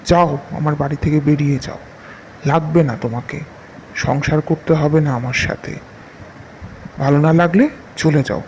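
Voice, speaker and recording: A man's voice: a little high, normal speed, long pauses, darkish tone, a little hiss or hum, lightly acted, low-quality recording. A man playing an angry, overworked husband in a cartoon story, harsh and dismissive. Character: angry, overworked husband driving his wife out.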